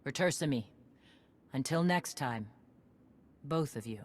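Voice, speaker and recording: A woman's voice: rumbling low, expressive, unhurried, a little mellow, heavily acted. An actress playing a tough veteran female warrior clan leader, blunt and commanding. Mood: gruff.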